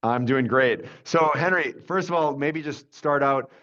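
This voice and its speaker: A man in his forties hosting a webcast interview, chatty and friendly, with fillers and quick interjections. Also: mid-range voice, fairly bright tone. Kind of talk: casual conversational interview. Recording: dull lo-fi audio.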